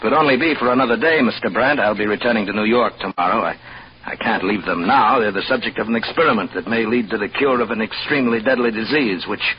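Supporting radio role: male actor as gentle oddball researcher, lowish voice, polite and eager, hissy dull vintage sound. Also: fast talker, close to an everyday voice. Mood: earnest pleading. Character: mild, eccentric male scientist doting on his rats. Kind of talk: old-time radio drama acting. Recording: dull, noisy old radio recording.

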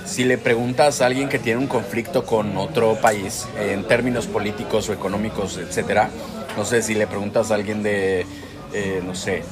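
A man's voice: fairly low pitch, steady tone, moderate pace. A man with a Mexican accent in opinionated, casual discussion, speaking in an earnest, emphatic way and often correcting himself. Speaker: co-host of a discussion show. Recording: music and crowd noise behind, indoor public space. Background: music and chatter.

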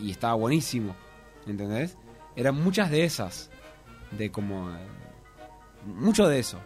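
A man with a Uruguayan Spanish accent chats in a studio, telling stories in an easygoing, halting way. His pitch is typical for a man. Faint music plays behind him. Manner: relaxed storytelling, hesitant with restarts. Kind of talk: casual chat. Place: radio studio. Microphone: studio microphone.

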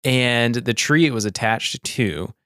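A man's voice: medium pitch, takes it slowly, a bit bright, dry recording. Young man telling a rambling story, dry sound. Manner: rambling, laughs often, says like a lot. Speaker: podcast co-host. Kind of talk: casual storytelling chat.

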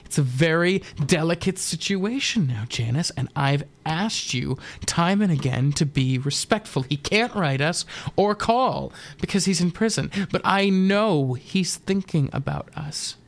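A man's voice: fairly high pitch, animated, unhurried, hardly any pauses, pitched above the performer's own voice. A man voicing a middle-aged mother in a radio drama, strained and fussy, clinging to cheer. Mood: stern, strained. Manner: fussy, placating, exasperated.

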